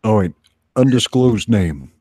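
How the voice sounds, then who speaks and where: A man's voice: lowish voice, animated, unhurried, dark tone, slight background noise. A man co-hosting a livestream with casual, chatty banter. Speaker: livestream co-host.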